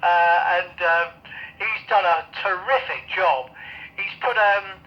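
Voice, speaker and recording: An elderly man in his seventies with a high-pitched voice talks in a casual, rambling way, pausing to find his words. He is a radio amateur, and his voice comes through a dull, band-limited radio link. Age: 70s. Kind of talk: casual two-way radio chat. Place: home radio shack in roof space.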